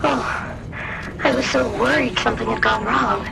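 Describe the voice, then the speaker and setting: A woman's voice: on the high side, fairly even intonation, moderate pace, gravelly, very noisy. A woman playing an earnest, trusting technician chatting in a sci-fi video game. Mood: anxious, relieved. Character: trusting, earnest female technician, eager and relieved. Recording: music and ambience behind voice.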